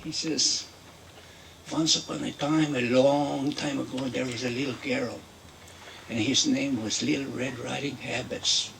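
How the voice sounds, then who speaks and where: A man playing a Mexican-American storyteller who tells a comic fairy tale in broken English, with drawn-out, repetitive folksy phrasing. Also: mid-range voice, steady tone, gravelly, a bit bright, far from the mic, a little hiss or hum, pitched below the performer's own voice, low-quality recording. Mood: folksy, earnest. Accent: put-on Mexican-American.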